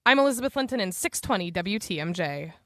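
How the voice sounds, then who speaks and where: A woman with a medium-pitched voice reads a scripted radio news bulletin as an anchor. Faint music plays underneath her. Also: expressive, fast, no room sound, studio quality.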